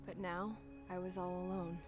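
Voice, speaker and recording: A woman's voice: fairly low pitch, little pitch movement, normal speed, lightly acted. An actress playing a plain-spoken, wistful young woman pilot and mechanic, narrating her own story in a casual, wry way for a game cutscene, with music behind her. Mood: reflective. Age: young adult. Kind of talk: scripted first-person cutscene narration.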